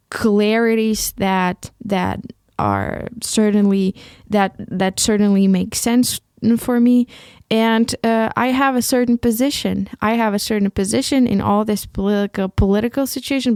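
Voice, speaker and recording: A young woman from Ukraine, speaking English as a second language, talks alone into the mic for her podcast. She is earnest and hesitant, restarting her sentences often. Her voice is smooth and clear, in a dry, close recording. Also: mid-range voice, darkish tone, no room sound. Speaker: solo podcast host. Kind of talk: casual solo podcast monologue.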